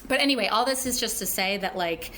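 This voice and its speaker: A woman in her forties hosts a casual podcast and makes her points in a frank, emphatic way, with some casual swearing. Her pitch sits in the middle, and faint noise hums under her voice. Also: animated, fast, fairly bright tone. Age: 40s. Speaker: podcast host. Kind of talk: casual chat.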